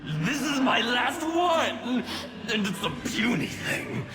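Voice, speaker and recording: Theatrical, high-pitched mad apothecary. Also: male voice, sing-song, slow pace, exaggerated voice. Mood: excited. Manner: pompous, theatrical exclaiming. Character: flamboyant, vain male undead mad apothecary, theatrical. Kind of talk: video game cutscene acting. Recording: loud music behind.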